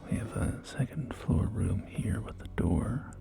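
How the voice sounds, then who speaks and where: Man playing a whispering, unhurried real estate agent. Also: fairly low pitch. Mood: gentle, accommodating. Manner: soft-spoken, hesitant, unhurried, courteous. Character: polite, helpful wasteland real estate agent showing homes. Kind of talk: ASMR roleplay, whispered sales tour. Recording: close whisper over clear background music. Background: music and game audio.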